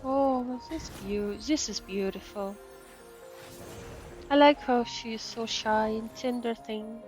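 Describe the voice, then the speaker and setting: Woman, high voice, unhurried sparse delivery, casual gameplay reactions, murmured self-talk, game music behind. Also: a little mellow. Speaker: VTuber game streamer.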